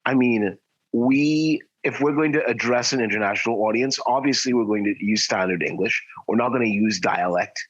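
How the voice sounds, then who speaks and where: A man's voice: mid-range voice, normal speed, fairly bright tone. A man with a Trinidadian accent, co-hosting a podcast in talkative casual chat. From Trinidad and Tobago. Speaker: podcast co-host. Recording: muffled lo-fi podcast audio.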